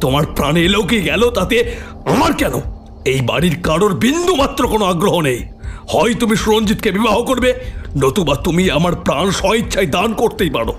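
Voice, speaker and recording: এক কঠোর, দম্ভী বয়স্ক কর্তা, বেশ উঁচু গলা আর ওঠানামা ভরা স্বরে ধমক দেয়, ভূতের গল্পের শ্রাব্য নাটকে। পেছনে হালকা আবহসংগীত শোনা যায়।. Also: male voice, sits high, very animated, moderate pace. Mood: scornful. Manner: haughty, scornful. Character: stern older man, patriarch forbidding marriage. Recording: music behind voice.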